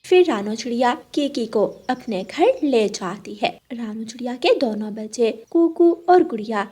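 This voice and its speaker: A woman with a very high voice narrates a children's story. She reads scripted lines as the storyteller of a cartoon. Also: lively intonation, moderate pace, warm tone, slightly rough.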